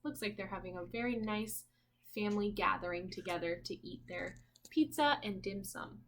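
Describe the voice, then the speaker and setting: A woman's voice: medium pitch, moderate pace, a bit bright, slight background noise. A woman teacher reading a picture book aloud to young children in a sing-song way, prompting listeners with questions.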